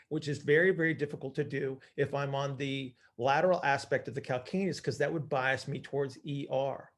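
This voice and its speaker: A man leading an informal group call as coach, teaching emphatically by posing questions and answering them himself. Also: medium pitch. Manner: emphatic, asks and answers own questions, often checks with "right?".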